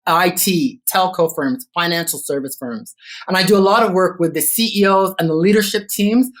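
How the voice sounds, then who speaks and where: A man's voice: high voice, moderate pace, fairly bright tone. Articulate Canadian man, guest consultant. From Toronto, Canada. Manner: articulate, lists points with frequent um and uh. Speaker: guest business growth consultant. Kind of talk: conversational podcast interview.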